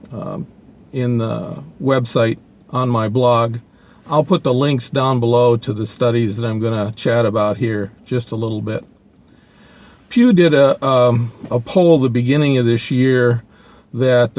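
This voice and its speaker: Halting older man musing to camera. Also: mid-range voice, a little mellow. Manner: halting, many fillers and restarts. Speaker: video blogger. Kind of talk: casual opinion monologue.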